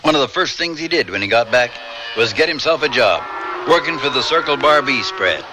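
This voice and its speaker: A man's voice: mid-range voice, animated, a little fast, background noise, natural voice. A man playing the storyteller who narrates a radio western. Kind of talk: scripted radio drama narration.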